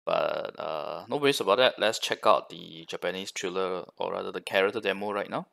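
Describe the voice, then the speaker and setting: Low, creaky-voiced man commentating. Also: a little fast, a bit bright. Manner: analytical, conversational. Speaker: gaming reaction video host. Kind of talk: casual commentary.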